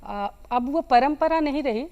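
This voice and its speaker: A woman from Bihar speaks as a folk singer and interview guest. Her voice is very high, and she takes her time, moving at a markedly slow pace. She phrases things in a reflective, halting way, conversational throughout. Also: a little mellow, lo-fi sound.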